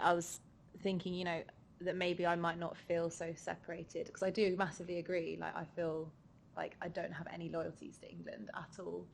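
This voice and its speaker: A woman's voice: on the low side, vocal fry, a little hiss or hum. A young woman on a discussion panel, speaking reflectively and asking questions, with frequent fillers. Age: young adult. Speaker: panel member.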